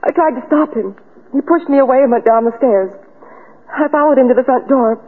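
A distraught frightened wife. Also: female voice, high voice, brisk, slightly rough. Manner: distraught, breathless. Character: frightened young wife of a murdered man. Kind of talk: 1930s radio drama acting. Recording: tinny old radio broadcast with reverb.

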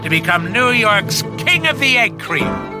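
Guy doing theatrical storybook narrator for a comedy, pitched high, music under it. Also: sing-song, a little slow. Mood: grand. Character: theatrical storyteller of a comic rags-to-riches tale.

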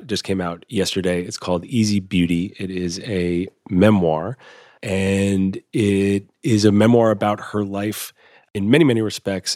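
Man with a hoarse, very low voice. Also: dry recording, clean studio sound. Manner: relaxed, self-deprecating, with fillers. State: losing his voice. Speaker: podcast host. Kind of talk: casual podcast chat and interviewing.

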